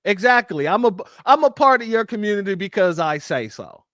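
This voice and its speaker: Emphatic livestream host, very lively pitch. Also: a little fast, no echo, studio quality. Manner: heated, emphatic. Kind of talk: livestream commentary.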